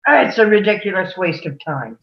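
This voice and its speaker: A woman's voice: mid-range voice, sing-song, normal speed, lo-fi sound. A woman at a play table read, playing a stubborn, combative juror in a dismissive, challenging manner. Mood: scornful.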